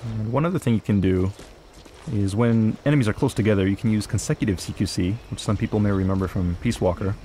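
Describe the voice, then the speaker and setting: A man's voice: fairly low pitch, fast talker, a little hiss or hum. A man presenting a live gameplay demo, explaining moves and options in an instructional way. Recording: loud music behind the voice.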